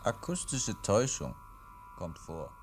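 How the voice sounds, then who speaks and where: An actor playing a composed, dry-witted police inspector in a 1950s radio play, measured and quietly insistent. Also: male voice, sits low, big pitch swings, gravelly, over music, exaggerated voice. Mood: sceptical. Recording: vintage 1950s radio recording.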